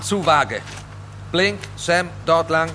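An actor playing a brusque SWAT police chief in a children's audio drama, barking clipped military commands. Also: male voice, fairly high pitch, expressive, slow, bright, forceful tone, a little hiss or hum, exaggerated voice. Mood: stern. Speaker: SWAT team leader, the Corporal.

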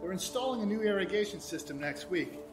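A man's voice: high-pitched, lively intonation, quick pace, music in the background. A man playing a bullying, sarcastic boss who browbeats his worker in an audio drama. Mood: mocking.

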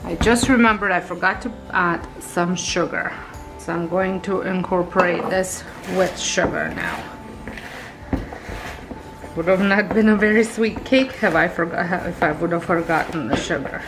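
A woman hosting a home baking video, talking through each step in a relaxed, chatty way with small self-corrections. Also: lowish voice, measured pace, over music, low-quality recording. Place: home kitchen.

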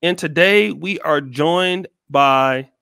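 A man hosting a video call interview, relaxed and hesitant, often restarting his questions. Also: a little high, slow pace, vocal fry, clear, resonant tone, no room sound, studio quality. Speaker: host. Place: small room indoors. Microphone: headset and studio mic.

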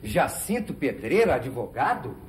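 An older Brazilian man playing an excitable, suspicious, questioning old gentleman in a 1970s telenovela. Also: medium pitch, measured pace, soft background music. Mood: suspicious, incredulous. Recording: noisy old 1970s TV soundtrack.

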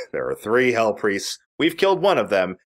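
A man's voice: fairly low pitch, wildly swooping pitch, moderate pace. A man giving casual, explanatory game commentary with rambling asides. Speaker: let's play commentator.

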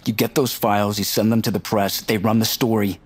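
An actor playing an eager, cocky, talkative tech strategist in a naturalistic audio drama. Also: male voice, fairly low pitch, little pitch movement, brisk, breathy edge. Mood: confident. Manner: talkative, boastful, informal. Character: eager, cocky, nerdy male tech strategist, chatty roommate.

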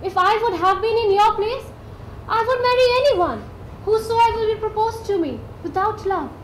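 An actress playing a gentle, sensible elder sister who soothes and advises in a motherly way. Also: very high voice, lively intonation, moderate pace. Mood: earnest. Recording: echoey stage audio, faint hum.

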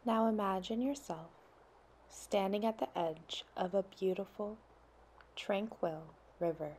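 A woman leading a guided meditation in a soft, soothing way, sometimes dropping to near whispers. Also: medium pitch, a little slow, a little hiss or hum.

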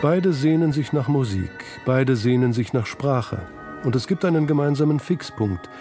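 A man's voice: medium pitch, lively intonation, normal speed, dark tone, faint music behind. A man reading scripted narration for a documentary feature.

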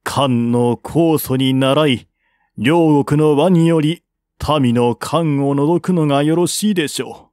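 A man's voice: slow, a little mellow, dry recording, clean studio sound. A man voicing an earnest, learned envoy in an audiobook, urging peace on his prince in formal, persuasive speech. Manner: formal, persuasive, higher-voiced.

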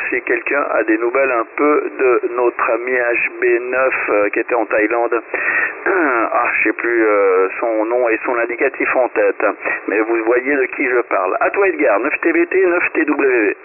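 A male amateur radio operator gives his signal reports in a relaxed way, throwing in the odd joke. His voice is middle-pitched and thin, as it comes over shortwave radio with light hiss. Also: narrow, tinny audio. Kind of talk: casual radio chat giving signal reports.

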